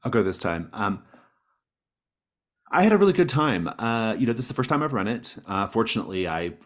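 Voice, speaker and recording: A man's voice: lowish voice, big pitch swings, a little fast. A man speaking casually as game master, explaining and reflecting on a tabletop roleplaying session.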